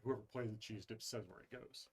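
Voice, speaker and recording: A man's voice: lowish voice, fairly even intonation, brisk, husky. Man explaining rules at a game table. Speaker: board game player explaining card rules. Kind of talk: casual tabletop play chat.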